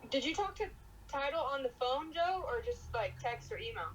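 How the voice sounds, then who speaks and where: A woman's voice: high voice, animated, moderate pace, a crowd in the background. A woman chatting casually with colleagues in a business discussion. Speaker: team member in business discussion. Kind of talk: casual chat. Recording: faintly noisy laptop-camera recording.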